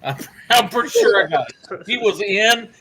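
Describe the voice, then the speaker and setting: A man's voice: high voice, expressive, moderate pace, sharp tone, rough recording. A man joking around and teasing others in a casual group video chat.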